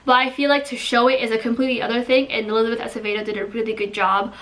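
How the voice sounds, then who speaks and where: A woman's voice: on the high side, flat delivery, very fast, a bit bright, recorded from a distance. A woman giving a casual spoken book review, chatty and full of "like" fillers. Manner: chatty, filler-heavy with frequent "like".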